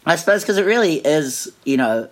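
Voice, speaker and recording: A young man interviewing his flatmate at home, talking loosely, repeating himself and trailing off. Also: fairly high pitch, fairly quick, low-quality recording. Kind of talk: casual interview chat. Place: shared flat at home.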